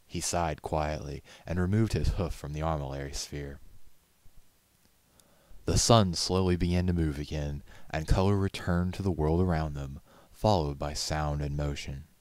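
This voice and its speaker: A man reading a story aloud as narrator, in a measured, solemn, dramatic audiobook style. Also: very deep voice, steady tone, darkish tone, no room sound.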